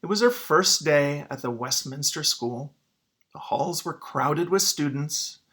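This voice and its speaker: A man's voice: on the high side, unhurried, fairly bright tone. A man speaking as a teacher to his students, in an informal read-aloud lesson style.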